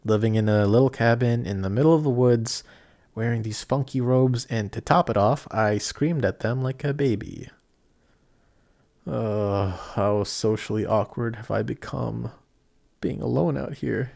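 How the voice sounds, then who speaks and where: A young man playing a kindhearted apprentice sorcerer in an audio roleplay, chatty, excitable and easily flustered, with some stammering. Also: mid-range voice, measured pace, vocal fry. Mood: flustered, awkward. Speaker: voice actor. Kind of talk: amateur audio roleplay acting addressed to the listener.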